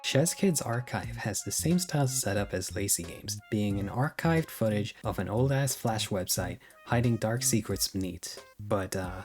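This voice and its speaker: A man's voice: on the low side, animated, moderate pace, few pauses. Casual, opinionated man narrating. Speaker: video essayist. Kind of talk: video essay narration. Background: music.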